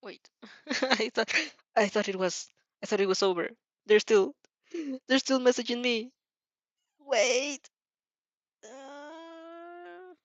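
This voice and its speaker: A woman's voice: high-pitched, slow, pauses often, no room sound. A woman host chatting casually while reacting to fan fiction, in a giggly, apologetic, scattered way that keeps interrupting itself.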